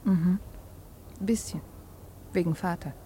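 Casting: anxious young bride, lowish voice, wistful and halting, radio drama with faint noise behind. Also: female voice, mellow. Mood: tender. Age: young adult. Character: tender, anxious young bride, dutiful daughter. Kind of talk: serious German radio drama acting.

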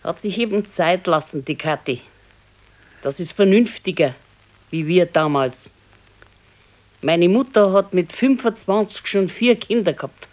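A woman's voice: on the low side, animated, a little slow, pauses often, a little mellow. An actress playing an old grandmother and grumbling, practical wife in a radio play, speaking tersely and dryly with a Bavarian accent. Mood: matter-of-fact. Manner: terse, matter-of-fact, dryly contradicting. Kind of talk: naturalistic radio play dialogue.

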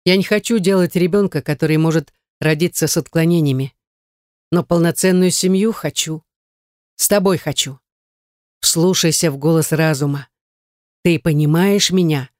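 A female reader plays a stern man in love. The voice is low and muted, serious and commanding as it persuades. It is a close, dry audiobook reading. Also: normal speed, a little mellow, no echo, natural voice. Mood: stern, persuasive.

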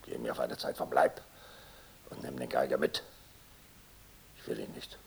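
An actor playing a gruff, stubborn ship's captain in a radio play, gravelly and husky, giving curt orders. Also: male voice, very deep voice, little pitch movement, moderate pace, full character voice. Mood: stern, weary. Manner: curt, barking orders, swearing. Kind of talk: radio drama acting.

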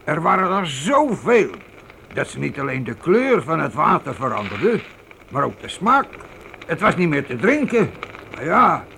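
Gravelly, animated frontier storyteller. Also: male voice, fairly high pitch, moderate pace, a little hiss or hum, heavily acted. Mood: reminiscing. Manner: reminiscing, emphatic. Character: seasoned frontier man spinning campfire buffalo tales. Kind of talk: Dutch radio play storytelling.

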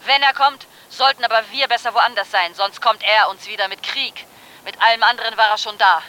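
An actress playing a bitter, sardonic commentator in a German radio play, delivering an ironic, declamatory monologue. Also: female voice, fairly high pitch, steady tone, a little fast, pushed and bright. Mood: bitter irony.

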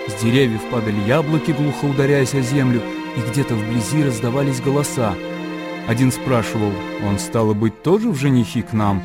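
Guy narrating a classic story for a radio play, medium voice, music really loud behind him. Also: medium pitch, a little fast. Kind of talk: literary narration in a radio drama. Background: loud background music.

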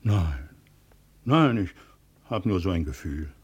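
A man's voice: deep voice, animated, slow, darkish tone, husky, full character voice. An actor playing a sober, plain-spoken village man in a terse manner in a 1950 radio play. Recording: vintage 1950 radio recording.

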